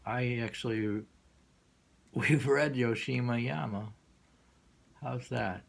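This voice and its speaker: A man reading poetry aloud and discussing it informally, in a musing, halting way that lingers and repeats lines. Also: medium pitch, gravelly. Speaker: poetry reader and host.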